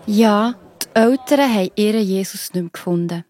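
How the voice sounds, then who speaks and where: A woman's voice: medium pitch, normal speed, a little mellow. A woman with a Swiss German accent telling a story to children, explaining it simply and expressively. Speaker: narrator. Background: music.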